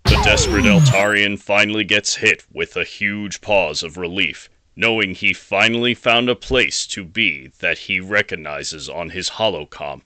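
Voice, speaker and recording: A man's voice: fairly low pitch, big pitch swings, unhurried, few pauses, bright, forceful tone, no echo. A man narrating a scripted audio drama in a dramatic storytelling style.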